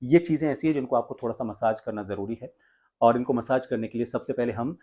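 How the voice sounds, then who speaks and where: A man's voice: mid-range voice, fast, mellow. Male voice coach giving step-by-step instruction. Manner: explanatory, demonstrates step by step. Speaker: voice coach and presenter. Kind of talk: instructional video tutorial.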